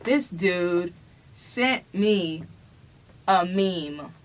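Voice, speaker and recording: A woman's voice: fairly low pitch, animated, extremely slow. A young adult woman in casual banter as a vlog co-host, teasing and playfully arguing back.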